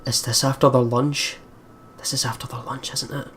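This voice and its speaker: A woman hosting a let's play, reading visual novel narration and reacting in casual, expressive, sweary commentary. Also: very deep voice, normal speed, slight background noise.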